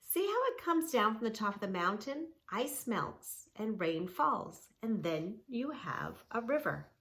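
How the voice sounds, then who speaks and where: A woman voicing a kind aunt who gently explains nature to her niece. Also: on the high side, big pitch swings, a little slow, heavily acted. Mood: reassuring. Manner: reassuring, explaining.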